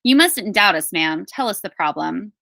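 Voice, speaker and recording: A curious young boy who tinkers and fixes things, voiced by a woman reading a storybook. He sounds earnest and sure of himself, with a bright voice. The sound is dry. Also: mid-range voice, animated, normal speed, gravelly, no room sound. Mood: confident. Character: curious, confident young boy tinkerer, eager to fix radios.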